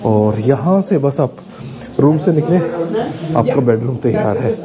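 A man's voice: mid-range voice, expressive, measured pace. A man in his forties, a travel vlogger, chatting casually as he walks through the rooms on a tour, mixing English into his speech, recorded on a handheld camera held close. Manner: chatty, explains while walking, mixes Hindi and English. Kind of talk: casual walking tour narration. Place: outdoor plaza, then small indoor rooms. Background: crowd of visitors.

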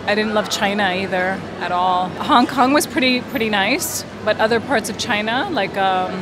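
A woman from Greece speaks in a relaxed, thoughtful way, pausing often to search for words. Her voice sits at a middling pitch. Loud traffic and city noise run behind her on the street. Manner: relaxed, reflective, many fillers. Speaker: street interviewee. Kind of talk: casual street chat. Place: busy city street outdoors.

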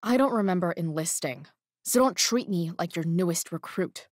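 A woman's voice: on the low side, animated, normal speed, dry recording, clean studio sound. A young woman playing a rebellious, sarcastic teenage girl in a video game, with an American accent and a sardonic, defiant delivery. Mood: angry defiance. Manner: sardonic, defiant, foul-mouthed.

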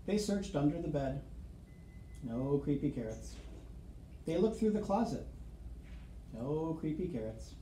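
Mellow man reading a picture book aloud. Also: a little high, lively intonation. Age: middle-aged. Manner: dramatic, suspenseful pauses. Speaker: school storyteller reading to children. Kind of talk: expressive picture-book read-aloud with asides to children. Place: small office, seated at desk. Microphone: camera across the desk. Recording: roomy office sound, faint background noise.

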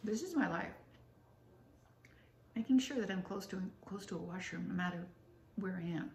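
A woman in her sixties with a raspy voice, chatting casually to the camera at home in a rambling, matter-of-fact way while she snacks. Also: medium pitch, normal speed, darkish tone. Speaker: vlogger. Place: living room at home. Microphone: camera close up on a table. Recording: roomy home room with faint hiss.

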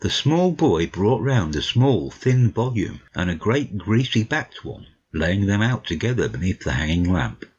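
A man's voice: lowish voice, big pitch swings, a little slow, hardly any pauses, a little mellow, slightly rough, lo-fi sound. A man reading scripted story narration as an audiobook narrator.

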